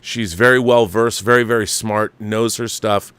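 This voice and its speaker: A man's voice: lowish voice, fairly even intonation, normal speed, a bit bright, smooth, no room sound. An older man hosting a movie talk show, reading viewer mail aloud and riffing on it in an opinionated, emphatic, conversational way.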